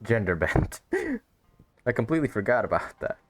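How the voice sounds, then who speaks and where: A man's voice: mid-range voice, wildly swooping pitch, normal speed, music in the background. A man giving casual, laid-back gameplay commentary with chuckling asides. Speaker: gaming video host.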